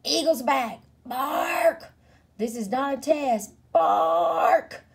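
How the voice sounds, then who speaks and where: A woman's voice: high-pitched, expressive, extremely slow, creaky, pitched above the performer's own voice, heavily acted. A woman voicing a boastful male prairie dog in a storybook, self-important, snarling and barking. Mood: alarmed barking.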